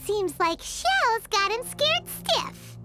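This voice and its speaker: A little fairy sidekick in a game, super high and squeaky, swoops all over, nosy and excitable. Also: female voice, slow pace, loud music behind the voice, heavily acted. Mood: curious. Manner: curious, excitable, refers to herself in third person. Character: tiny floating fairy companion, chirpy and nosy. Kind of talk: video game voice acting.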